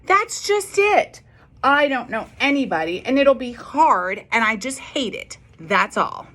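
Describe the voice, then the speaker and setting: A woman's voice: fairly high pitch, big pitch swings, a little slow, pushed and bright, voice pushed up, full character voice. A storybook heroine who does not want to go , voiced by a woman reading aloud. She is anxious and stubborn, and she protests in a moaning, whiny way. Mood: protesting.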